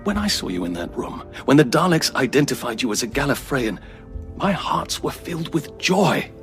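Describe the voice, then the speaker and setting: Casting: man to play a fervent Time Lord envoy for peace, oratorical and persuasive, very animated intonation, radio drama. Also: mid-range voice, moderate pace, soft background music, close to an everyday voice. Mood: fervent, proud. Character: earnest, zealous alien Time Lord peace negotiator. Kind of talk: audio drama acting.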